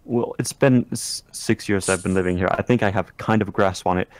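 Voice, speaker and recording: A man's voice: lowish voice, steady tone, brisk, no room sound. A man whose first language is French, chatting casually with friends, grumbling in mock annoyance and correcting himself as he goes. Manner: joking mock-grumpy, self-correcting. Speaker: friend drawing on stream. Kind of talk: casual group chat.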